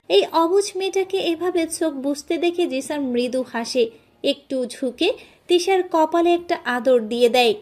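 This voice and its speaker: Woman reading out a love story, high voice, scripted narration. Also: a little mellow.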